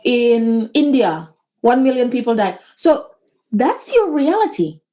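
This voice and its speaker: A woman's voice: on the high side, very animated, a little slow, dark tone. A woman business owner talking earnestly as a guest in a casual conversation, with small grammar slips. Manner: earnest, motivational, slightly non-native grammar. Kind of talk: casual interview chat.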